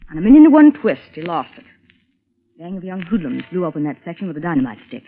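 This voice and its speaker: An American actress playing a seductive, scheming blackmail accomplice in a 1940s radio drama, with a coaxing, intimate manner. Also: female voice, fairly low pitch, very animated, fast talker. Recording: thin, phone-like vintage radio recording.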